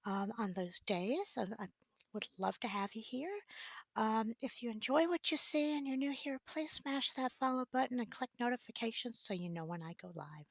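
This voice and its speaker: A woman hosting a casual art livestream, her voice raspy as she recovers it, talking in a relaxed, halting way with many ums. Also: mid-range voice, no room sound. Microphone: desk microphone.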